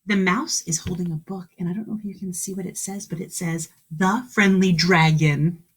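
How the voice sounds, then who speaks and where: A woman's voice: on the low side, fairly even intonation, fast talker, slightly rough. A woman kindergarten teacher reading a storybook aloud to young children, expressively, with exclamations, questions and explanations along the way.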